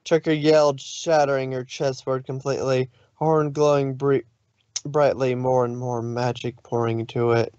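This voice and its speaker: A man's voice: mid-range voice, level tone, normal speed, a little mellow, clear, resonant tone, no room sound, muffled recording. A man narrating fan fiction in a dramatic storytelling way, sometimes stumbling over his words.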